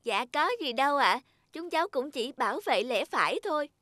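A woman voicing an earnest, childlike little talking household object in a children's cartoon, with an expressive manner. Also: high-pitched, brisk, no echo, clean studio sound. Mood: polite, friendly. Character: childlike talking cup and flashlight, eager, easily frightened young friends. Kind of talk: dubbed children's cartoon dialogue.

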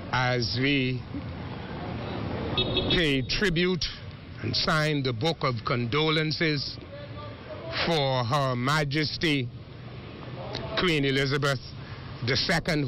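A man interviewing passers-by on the street as a reporter, asking questions slowly and conversationally. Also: on the high side, bright, forceful tone. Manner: slow, measured, conversational questioning. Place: outdoors, street. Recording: faint street noise outdoors.

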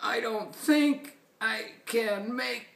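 A woman's voice: a little high, very slow, gravelly, a bit bright, echoey room, voice pushed up, exaggerated voice. A woman voicing a struggling, overburdened beast in a storybook, pleading in a strained way for help.

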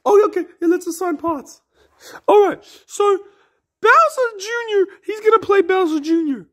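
A performer voicing an excitable, bossy, whiny young cartoon koopa boy in a comedy skit. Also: very high voice, moderate pace. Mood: eager, bossy.

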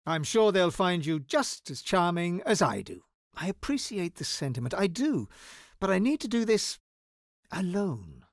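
An actor playing a guarded, anxious scholar wizard in a video game, speaking in an articulate, wry and dramatic manner. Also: male voice, high-pitched, sing-song, a little slow, no echo, clean studio sound, heavily acted. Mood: hesitant, pleading.

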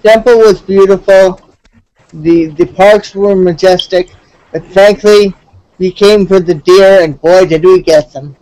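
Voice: medium pitch, measured pace, a little mellow, slight background noise, poor audio. A person chatting casually on a video call, gushing playfully and stumbling over words now and then. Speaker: virtual tour participant.

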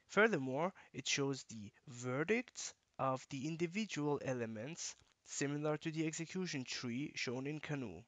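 A man giving scripted, measured narration for a software screencast tutorial, explaining features step by step. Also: fairly high pitch, lively intonation, dry recording. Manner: measured, instructional.

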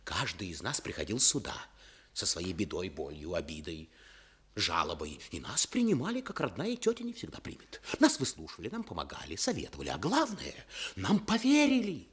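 A man's voice: sits low, huge pitch swings, breathy edge, full character voice. An actor playing a wry, grandiloquent, self-deprecating man who orates with ironic politeness in a Soviet radio play. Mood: heartfelt oratory. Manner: oratorical, deliberate pauses, ironic politeness. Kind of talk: Soviet radio theatre acting.

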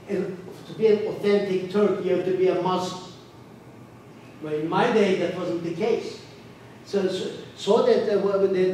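Older male guest speaker, halting and full of fillers, fairly high voice, roomy distant sound. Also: warm tone. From Turkey. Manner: halting, many restarts and fillers. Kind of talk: academic lecture discussion. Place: large lecture room. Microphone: podium microphone, standing. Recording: echoey room, distant mic, faint noise.

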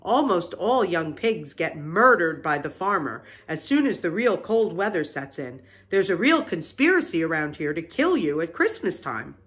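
A woman's voice: fairly low pitch, sing-song, moderate pace, hardly any pauses, fairly bright tone. A woman voicing a blunt, gossipy old female sheep with an emphatic, snappish delivery in a children's storybook. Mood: grim relish.

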